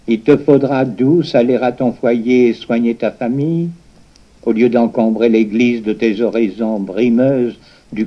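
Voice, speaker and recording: A man recites sacred poetry in a solemn, sermon-like style. His delivery is very slow and declamatory, each phrase deliberately set apart and pressed on the listener. The pitch sits in a medium male register, and the recording is somewhat dull and lo-fi. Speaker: reader reciting a religious poem.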